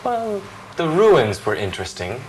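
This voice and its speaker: A man's voice: fairly high pitch, huge pitch swings, a little slow, slight background noise, rough recording. A man reading the answering part in a scripted practice dialogue, matter-of-fact with a joking touch. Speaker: dialogue reader answering questions.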